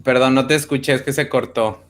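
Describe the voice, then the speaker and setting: A man's voice: medium pitch, steady tone, a little fast, a bit bright. A man, a teacher greeting students one by one on a video call. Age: 30s. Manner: friendly, brief acknowledgments. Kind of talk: online class roll call.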